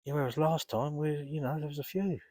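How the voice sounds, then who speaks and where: A man with a cold, rambling casually to camera while fishing outdoors by a lake, often clearing his throat. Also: fairly high pitch, steady tone, fairly quick, warm tone, dry recording. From England. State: congested, blocked up with a cold. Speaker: carp fishing vlogger.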